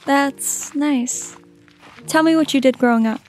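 A woman plays a wartime nurse in an audio drama. Her voice is on the high side, caring and calm, with a world-weary air. Music plays faintly underneath. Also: unhurried. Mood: kindly.

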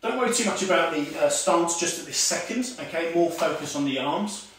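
A man's voice: on the high side, a little fast. Man, forties, karate teacher, demonstrating while talking, lots of "okay", heard from a distance, very echoey room. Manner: talks while demonstrating moves, calls out commands; many "okay"s and fillers, relaxed teaching tone. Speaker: karate instructor. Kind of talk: online martial arts lesson. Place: home room with tiled floor. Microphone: far camera on a stand.

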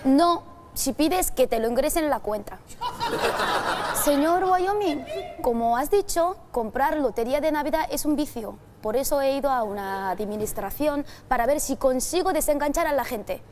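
A woman's voice: high-pitched, expressive, normal speed, darkish tone. A woman working as a comic reporter, teasing people in a queue with a handheld mic. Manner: teasing, mischievous. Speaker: comedy reporter. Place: busy city street outdoors. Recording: street noise with traffic.

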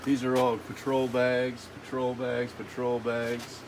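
Middle-aged man, slow unhurried delivery, casual halting inventory walkthrough, noisy phone video in a warehouse. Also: mid-range voice, monotone. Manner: casual, halting, repeats item names. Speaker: warehouse tour guide. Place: large indoor warehouse. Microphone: handheld phone camera nearby.

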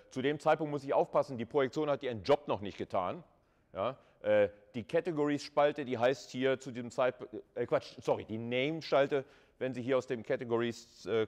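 A man's voice: medium pitch, a little mellow. Informal man lecturing to students. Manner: informal, conversational, with rhetorical questions. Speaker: university lecturer. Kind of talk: live lecture explanation, addressing students. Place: classroom with chalkboard.

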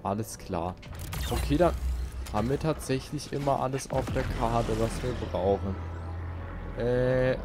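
A man giving casual let's play commentary, muttering and grumbling to himself. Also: mid-range voice. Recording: loud game music and effects behind. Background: video game music and sound effects.

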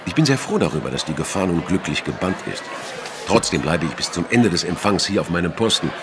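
Low-voiced male inspector, formal and courteous, animated intonation, audio drama. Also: fast talker, background noise. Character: dutiful, formal plainclothes police inspector. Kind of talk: German audio drama acting.

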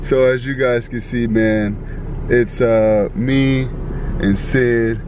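A man's voice: mid-range voice, fairly even intonation, takes it slowly. A man in his twenties chatting casually to a camera held at arm's length, laid-back and laughing now and then. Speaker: vlogger. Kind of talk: casual vlog chat to camera. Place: in a car and outdoors by the sea. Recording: noisy car and wind background. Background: car and outdoor noise.

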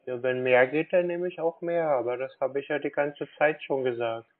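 A man on a phone call, talking as a confused customer in a halting, dithering way and repeating himself. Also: medium pitch, fairly even intonation. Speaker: scam baiter playing a gullible customer. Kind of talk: phone call, slow put-on pensioner act. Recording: dull band-limited phone line.

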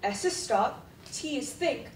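A woman's voice: a little high, expressive, unhurried. A teenage girl playing an anxious, polite young hiker in a school radio drama on an echoey stage. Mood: calmer. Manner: nervous. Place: indoor theatre stage. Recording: echoey, noisy hall, distant microphone.

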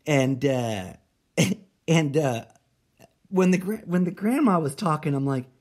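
A man who grew up speaking Spanish in Mexico, hosting a solo podcast and thinking out loud, rambling with lots of fillers and restarts. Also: on the high side, expressive, slow. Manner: rambling, self-interrupting, many fillers and restarts. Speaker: podcast host. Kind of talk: solo late-night musing podcast.

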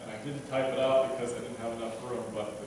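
Man, thirties, preacher, relaxed sermon, restarts and fillers, distant mic, echoey sanctuary, room hum. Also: mid-range voice, fast. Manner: conversational, many restarts and fillers, self-deprecating. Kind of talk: casual sermon. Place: church sanctuary, at a pulpit. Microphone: pulpit microphone, filmed from a distant camera. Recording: echoey church from far off.

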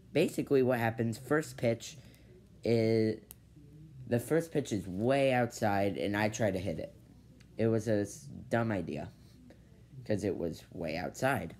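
A man's voice: fairly low pitch, unhurried, pauses often, darkish tone. A teenage boy telling a story casually to his camera in his bedroom, rambling and restarting with lots of "basically". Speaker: vlogger. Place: bedroom with ceiling fan, indoors. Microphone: device camera at low angle.

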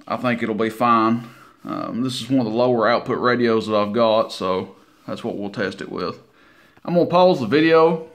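A man's voice: mid-range voice, a bit bright. Folksy man, casual demo. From Tennessee, United States. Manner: folksy, unhurried, informal. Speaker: CB radio hobbyist presenting his equipment. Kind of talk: casual hands-on demo narration. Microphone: handheld camera mic, operator behind it.

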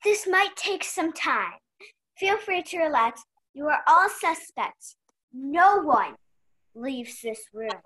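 A young woman playing a brisk, bossy female detective in a radio mystery play. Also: sits high, big pitch swings, pushed and bright, exaggerated voice, rough recording. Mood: brisk, assertive.

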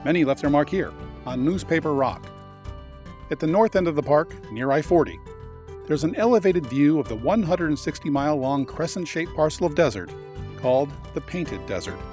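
Guy narrating a travel vid, kinda high voice that moves around a lot, guitar music playing behind. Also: expressive, darkish tone. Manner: informative, measured tour-guide delivery. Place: voice-over, not on location.

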